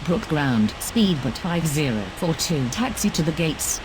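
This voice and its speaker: A woman's voice: low-pitched, sing-song, measured pace. A woman voicing a formal airport radio operator in an aviation game, giving clipped, scripted call-outs. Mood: formal, neutral. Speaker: air traffic radio voices in a game. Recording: very noisy, roaring engine-like background.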